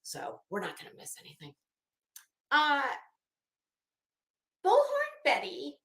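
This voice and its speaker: A woman's voice: high voice, wildly swooping pitch, slow, gravelly, fairly bright tone, reverberant. A woman hosting a live stream, reading aloud dramatically with mocking, drily sardonic commentary.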